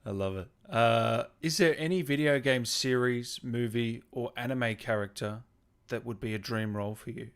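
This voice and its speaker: A man hosting a casual gaming interview over a video call, asking questions in a relaxed chatty style. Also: mid-range voice, moderate pace, clean tone. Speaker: interviewer, gaming show host.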